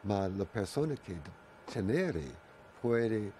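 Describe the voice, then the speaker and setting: Slow, deep-voiced older man, Italian accent. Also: expressive, warm tone, slight background noise. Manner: halting, searching for words, repeats phrases. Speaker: guest, Watsu originator. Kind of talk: radio interview answers.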